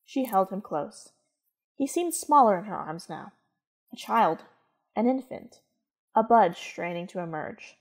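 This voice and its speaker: Female narrator, mid-pitched, for scripted dramatic storytelling. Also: expressive, a little slow, pauses often, slightly rough.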